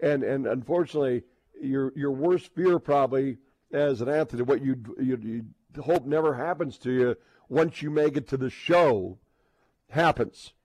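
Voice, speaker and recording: A man hosts casual sports radio talk in a mid-pitched voice with a dry, close sound. He talks haltingly, circling and repeating words as he works up to his point. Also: darkish tone, dry recording, low-quality recording.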